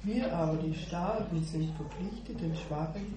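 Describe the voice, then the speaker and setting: A woman talks at a church gathering, pausing often on "äh" as she searches for words. Her voice carries from far off across a very echoey room, with constant noise in the background. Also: fairly low pitch. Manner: hesitant, many "äh" fillers, laughs easily. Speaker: church member sharing. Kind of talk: informal devotional sharing.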